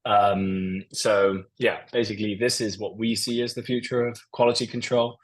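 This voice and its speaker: Male presenter, lowish voice, casual business pitch, filler-heavy. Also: little pitch movement, moderate pace. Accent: non-Portuguese. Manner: loose and conversational, many fillers. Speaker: company presenter. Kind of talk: business presentation over slides.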